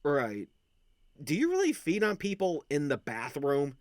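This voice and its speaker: A man's voice: fairly high pitch, huge pitch swings, unhurried, no room sound, clean studio sound. A man playing an awkward, chatty young werewolf in a casual audio roleplay, rambling and blurting like a cheeky little brother.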